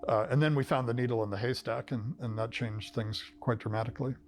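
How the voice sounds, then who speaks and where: A man's voice: medium pitch, steady tone, fast talker, faint music behind. A man explaining events in a documentary interview, with wry asides.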